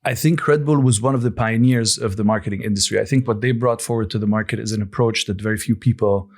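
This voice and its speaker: A man in his forties, born and raised in Lebanon, answering podcast questions as a company founder in a measured, thoughtful way with frequent pauses and restarts. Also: lowish voice. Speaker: guest, coffee company founder.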